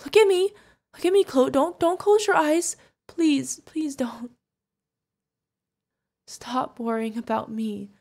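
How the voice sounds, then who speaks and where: A woman's voice: a little high, unhurried, gravelly. A woman playing a fierce yet tender witch mentor who is protective of an adopted pupil, in an emotional fantasy audio roleplay. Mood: distraught, scolding. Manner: emotional, commanding, affectionate, pleading. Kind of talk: ASMR fantasy roleplay acting.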